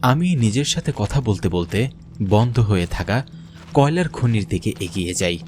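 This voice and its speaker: A man's voice: lowish voice, expressive, moderate pace, darkish tone, faint music behind. A man giving a scripted first-person narration of a horror story, as a government officer looking back. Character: haunted government officer recounting his mine visit.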